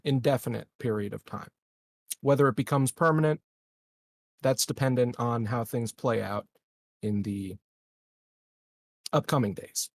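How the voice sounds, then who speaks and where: A guarded, calculating male colony leader, played by a man at a game table talks formally and deliberately. The voice is gravelly and recorded very dry. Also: mid-range voice, no echo.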